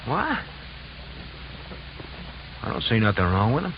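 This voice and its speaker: An actor playing a blunt, streetwise private operative in a vintage radio crime drama, speaking in a plain, colloquial way. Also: male voice, mid-range voice, huge pitch swings, measured pace. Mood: startled. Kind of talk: old-time radio crime drama acting. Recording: dull, faintly noisy old radio recording.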